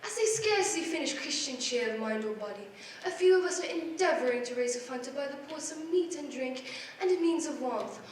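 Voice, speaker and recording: An actress playing a courteous, earnest charity collector with a formal manner, in a 1940s radio drama style. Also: female voice, high voice, animated, fairly quick. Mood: concerned, insistent. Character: polite, earnest charity collector, a genteel woman. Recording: very echoey stage, distant mic, faint noise.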